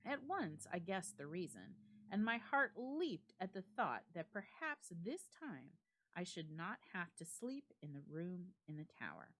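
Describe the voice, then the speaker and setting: A woman giving a dramatic reading of a classic ghost story, with measured, expressive phrasing and deliberate pauses. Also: lowish voice. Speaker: library staff member reading a story aloud.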